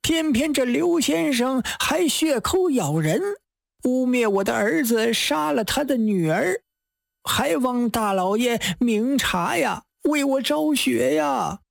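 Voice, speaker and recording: A woman's voice: sits high, lively intonation, slow pace, creaky, no echo, studio quality, exaggerated voice. A woman voicing a meek old male scholar, plaintive and deferential, drawing out his words in a storytelling performance. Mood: plaintive, aggrieved.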